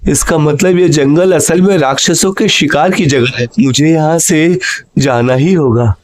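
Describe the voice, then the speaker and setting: A man's voice: a little high, measured pace. Anxious young cartoon hero Sattu, voiced by a man. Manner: frightened exclamations and muttering to himself. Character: poor young man Sattu, anxious and devoted to his mother. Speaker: narrator and voice actor. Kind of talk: Hindi cartoon voice acting.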